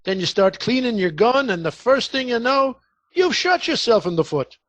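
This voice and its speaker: A man plays a genial neighbourhood cop in a radio comedy, chatty and deferential. His voice is high and very animated, with a close, dry sound. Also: moderate pace, dry recording, exaggerated voice. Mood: folksy, chatty. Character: genial, folksy neighbourhood police officer. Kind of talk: radio comedy theatre acting.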